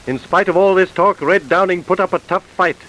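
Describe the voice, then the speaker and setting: A man's voice: high voice, brisk, a bit bright, slight background noise, poor audio. A man narrating true crime stories in a scripted, dramatic old-time radio style.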